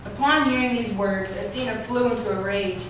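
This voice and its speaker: A woman's voice: mid-range voice, brisk. Woman narrator in a very echoey room. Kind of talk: scripted storytelling narration, readers theatre. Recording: echoey room, distant mic, noisy.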